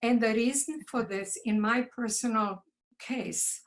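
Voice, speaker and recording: Slow careful older woman. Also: on the high side. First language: Croatian. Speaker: poet guest. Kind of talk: video call conversation. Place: home office.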